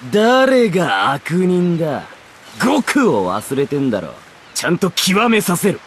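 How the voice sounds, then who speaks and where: A man playing a cocky, sneering, proudly wicked rogue in an anime game, with a rough manner of talking. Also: a little high, wildly swooping pitch, a little slow, fairly bright tone, slight background noise, exaggerated voice. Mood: cocky, scornful. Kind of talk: Japanese anime game voice acting.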